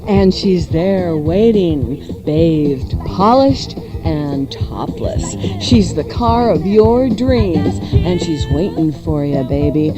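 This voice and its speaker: Sultry woman radio host with swooping pitch. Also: lively intonation. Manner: sultry, playful, drawn-out delivery. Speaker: radio show host and ad reader. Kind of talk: live-read radio ad patter. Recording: music behind the voice. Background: music.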